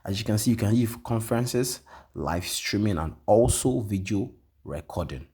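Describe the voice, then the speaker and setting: A man with a very low, slightly husky voice talks viewers through a software demo. He repeats himself often and checks in with "okay?" after nearly every point, filling pauses with "um.". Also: lively intonation. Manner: repetitive, frequent "okay?" and "um" fillers. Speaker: tutorial presenter. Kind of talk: screen-share software walkthrough.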